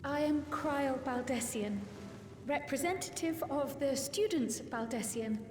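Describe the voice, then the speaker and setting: A woman's voice: high voice, measured pace, noisy background. A woman voicing an earnest, determined young scholar-envoy in a video game cutscene, formal, articulate and politely resolute. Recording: long hall reverb with music.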